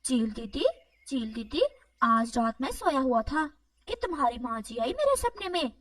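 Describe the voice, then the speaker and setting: A woman plays a sly male crow from a children's cartoon. The voice is high and wheedling, full of greedy pleading. It sounds dry, yet set a little back from the mic. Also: big pitch swings, moderate pace, fairly bright tone, no echo, distant mic, full character voice. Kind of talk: animated children's moral story dialogue.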